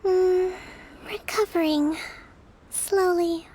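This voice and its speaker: A woman playing a composed young female military leader in a video game, stern yet compassionate, speaking in a measured, formal way. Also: very high voice, steady tone, a little mellow, slight background noise, heavily acted. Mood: tired, relieved. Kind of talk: scripted video game voice acting.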